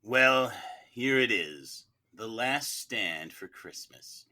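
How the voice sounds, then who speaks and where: A man's voice: mid-range voice, animated, takes it slowly, pushed and bright. A man playing a trial lawyer, delivering a grandiose, dramatic closing speech from a script. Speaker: mock-trial lawyer on a podcast.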